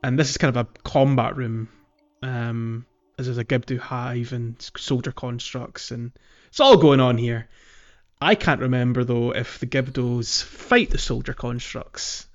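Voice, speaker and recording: A man hosts a casual gaming podcast, talking through gameplay in an informal way. His voice sits at a middling pitch, and the recording is slightly dull and lo-fi. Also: a little slow. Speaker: podcast host. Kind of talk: casual video game discussion, explaining gameplay.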